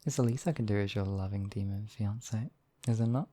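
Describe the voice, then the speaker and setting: A man's voice: lowish voice, fairly quick, mellow, slight background noise, natural voice. A man voicing a devoted demon king in an intimate ASMR roleplay, speaking in a courtly, tender and teasing way. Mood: seductive. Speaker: voice actor in audio roleplay. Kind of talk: ASMR romantic roleplay acting.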